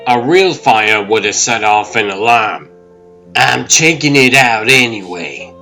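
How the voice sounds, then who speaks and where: An actor playing a bored, skeptical male security guard in a comic book dub, casual and dryly grumbling. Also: lowish voice.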